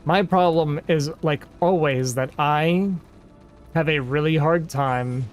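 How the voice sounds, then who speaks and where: Male game streamer, slightly high voice, rambling, exasperated, casual chat with viewers, faint music behind. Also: takes it slowly. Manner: rambling and exasperated, heavy use of "like".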